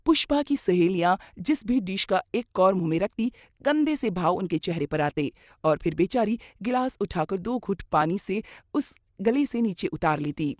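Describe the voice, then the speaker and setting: A woman's voice: mid-range voice, expressive, moderate pace, a little mellow, dry recording, clean studio sound. A woman narrating a scripted moral story as a storyteller.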